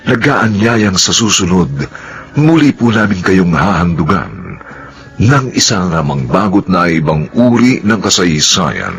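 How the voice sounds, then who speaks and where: Deep, very animated male radio announcer. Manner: formal, theatrical. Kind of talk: scripted radio narration, closing credits. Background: faint music.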